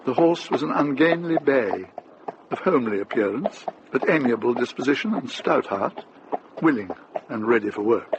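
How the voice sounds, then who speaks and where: A man's voice: medium pitch, lively intonation, moderate pace. A man reading scripted literary narration as the narrator of a radio drama. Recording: dull recording with clear noise.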